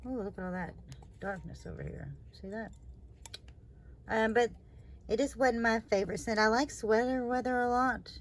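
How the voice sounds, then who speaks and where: A woman hosting her own review channel, slightly hoarse after surgery, talking in a rambling, chatty way with lots of fillers and trailing asides. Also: fairly high pitch, moderate pace, pauses often, a little hiss or hum. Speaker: candle review channel host. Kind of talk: casual product review vlog.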